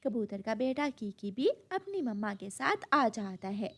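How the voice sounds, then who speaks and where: A woman narrator tells a scripted children's tale. Her voice is high and animated, moving up and down freely through each sentence. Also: a little slow. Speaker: story narrator.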